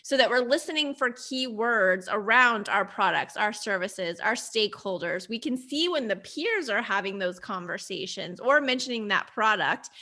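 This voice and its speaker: A woman's voice: medium pitch, animated, moderate pace, few pauses, sharp tone. A middle-aged woman with a youthful, girlish voice, talking conversationally as an interview guest. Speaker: interview guest, agency founder.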